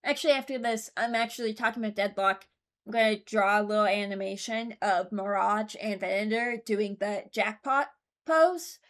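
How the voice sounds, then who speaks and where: A woman's voice: medium pitch, normal speed, a bit bright. A young woman hosting a video with casual, informal commentary on game voice lines, rambling and often interrupting herself. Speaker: streamer and video host.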